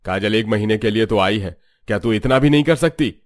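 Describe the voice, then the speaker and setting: A man's voice: on the low side, fairly quick, close to an everyday voice. A man playing a firm, fatherly elderly head of household in a cartoon. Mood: scolding. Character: stern but softening elderly head of household. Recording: background music.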